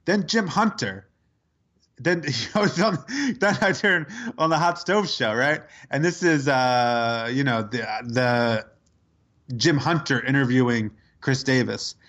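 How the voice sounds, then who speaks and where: A man co-hosting a podcast, telling a sports story in casual chat, incredulous and quick to laugh. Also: medium pitch, fairly bright tone. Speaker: podcast co-host.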